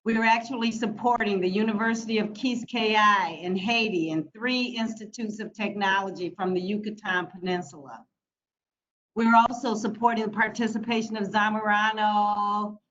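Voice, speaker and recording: An American woman delivers a prepared formal speech in a deliberate, emphatic manner with rhetorical repetition. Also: medium pitch, fairly even intonation, a bit bright. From United States (Michigan). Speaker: speaker from a funding organization. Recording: dull lo-fi audio with background noise.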